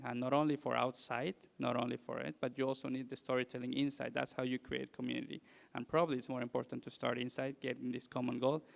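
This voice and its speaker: A man's voice: mid-range voice, gravelly. A man from Mexico, a native Spanish speaker with a Mexican accent, presents to an audience as a conference speaker in a hesitant, conversational way, posing rhetorical questions. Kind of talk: public presentation.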